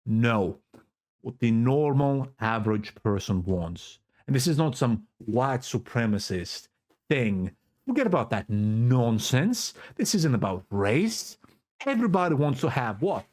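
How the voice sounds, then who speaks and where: A man's voice: medium pitch, sing-song, unhurried, darkish tone. A man from Cyprus with a Greek Cypriot accent, giving a fervent, preachy political monologue to his own camera and repeating words for emphasis. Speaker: vlogger. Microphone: self-recorded camera setup.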